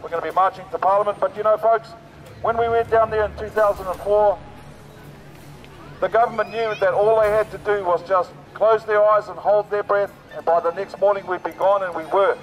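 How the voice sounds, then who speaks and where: Hoarse, rousing New male rally speaker, outdoors on a megaphone. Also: sits high, steady tone, a little fast, dull audio, slight background noise. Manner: rousing, repetitive, with fillers. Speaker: protest march speaker. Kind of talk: outdoor rally speech through a loudspeaker. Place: outdoors on a city street.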